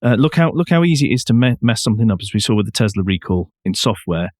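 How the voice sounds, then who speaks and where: A man's voice: medium pitch, big pitch swings, quick pace, a little mellow, no echo, studio quality. A man co-hosting a podcast, talking casually with firm, emphatic opinions. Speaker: podcast co-host. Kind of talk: casual discussion of car news.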